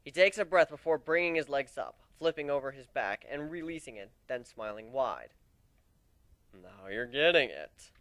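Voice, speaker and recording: A man reads a fantasy story aloud as its narrator, in an amateur dramatic style. His voice is slightly high, and the recording is dry with no room sound. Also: normal speed, few pauses, a bit bright, no echo. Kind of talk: amateur dramatic story reading, first-person.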